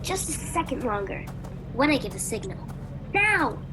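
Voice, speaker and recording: A high, animated voice on noisy home audio, a woman acting a cheeky, daring young girl thief for a radio play. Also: moderate pace. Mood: taunting, excited. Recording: noisy home video-call recording.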